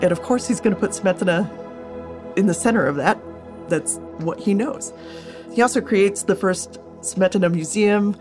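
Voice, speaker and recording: A woman's voice: medium pitch, moderate pace, a little mellow, soft background music. A woman speaking as an interviewed scholar, explaining things casually and thinking aloud as she goes. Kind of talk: interview answers.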